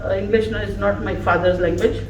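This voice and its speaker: A woman whose first language is Urdu giving a slow, heartfelt tribute speech at a farewell gathering. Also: mid-range voice, fairly even intonation. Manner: slow, warm, emphatic, laughing between phrases. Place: office gathering room. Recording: echoey room from far off, faint noise.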